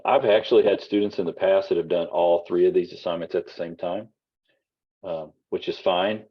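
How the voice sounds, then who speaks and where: A man teaching an online class in a loose, rambling way with lots of fillers, his voice husky and giving out. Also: low-pitched, highs cut off. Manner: casual, rambling, many fillers and restarts. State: losing his voice. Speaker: college instructor.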